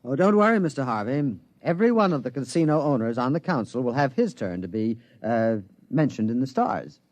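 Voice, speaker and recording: A man's voice: medium pitch, big pitch swings, fairly quick, a little mellow, dry recording, highs cut off, close to an everyday voice. An actor playing a polite, hesitant male assistant at an observatory in a 1950s radio drama.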